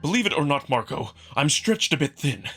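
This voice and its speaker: A man voicing a proud, earnest wolf alien in a visual novel drama, formal and emphatic, quick to defend himself. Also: fairly high pitch, very animated, fairly bright tone, soft background music. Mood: exasperated, defensive.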